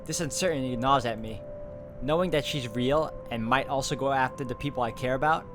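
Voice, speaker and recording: A man reading a visual novel aloud as dramatic, brooding first-person narration, phrasing it deliberately. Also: medium pitch. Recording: background guitar music over the voice.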